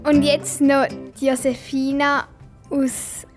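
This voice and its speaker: A woman's voice: high-pitched, level tone, slow pace. A girl aged ten to twelve presenting with scripted announcements. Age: child, 10 to 12. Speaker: young presenter introducing stories. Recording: loud guitar music behind the voice.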